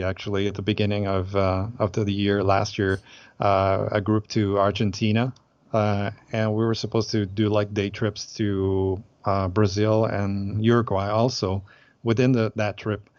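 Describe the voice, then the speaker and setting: A man's voice: on the low side, steady tone, normal speed, darkish tone, dry recording, lo-fi sound. A man from Aruba who organizes group trips, chatting in an interview with many hesitant fillers and restarts. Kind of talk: casual interview chat.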